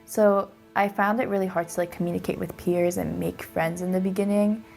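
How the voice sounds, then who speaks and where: A young woman, Japanese by first language, speaks with a lowish pitch and a gravelly fry in her voice. Her account is soft-spoken and hesitant, dotted with "like", over clear music playing underneath. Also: fairly even intonation, a little fast. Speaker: documentary interviewee. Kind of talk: reflective personal account.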